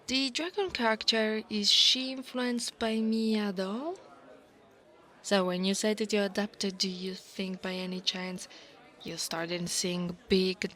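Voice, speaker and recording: A woman's voice: mid-range voice, fairly bright tone, close to an everyday voice. A woman playing a big, domineering but insecure dragon college bully in an ASMR roleplay, with a teasing, haughty manner. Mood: guarded, probing. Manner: teasing, haughty, drawn-out delivery with breathy asides.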